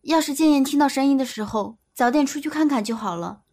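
A woman's voice: sits high, fast talker, a little mellow. An actress playing an earnest woman explaining events in an audio drama. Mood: regretful, explaining.

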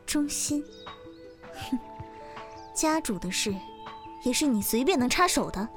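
Casting: female actor for stern, jealous young wife in period audio drama, haughty and accusing, high voice, music bed. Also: expressive, measured pace. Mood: cold, suspicious. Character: stern, jealous young wife, mistress of an old Chinese household.

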